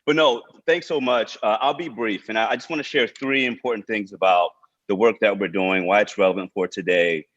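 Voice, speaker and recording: A man's voice: lowish voice, a little fast, a bit bright. A man speaking as a nonprofit director, giving informal prepared remarks to an online panel over a video call. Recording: dull, lo-fi video call audio.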